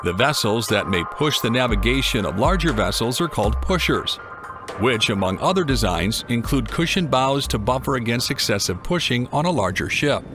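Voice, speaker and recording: Even-voiced male documentary narrator over music. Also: medium pitch, normal speed.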